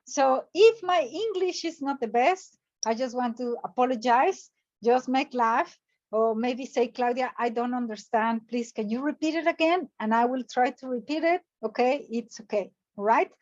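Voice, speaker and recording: Motivational speaker, woman, Mexican Spanish accent, high voice, lively questions to the audience, video call. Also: normal speed, highs cut off. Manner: animated, asks the audience questions. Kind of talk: energetic online workshop talk.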